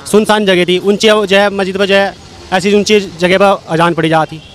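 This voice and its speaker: A man from the public giving informal, explanatory answers in a street interview, haltingly and with repeated phrases. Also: high voice, flat delivery. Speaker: member of the public being interviewed. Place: outdoors on a street. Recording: faint street and traffic noise.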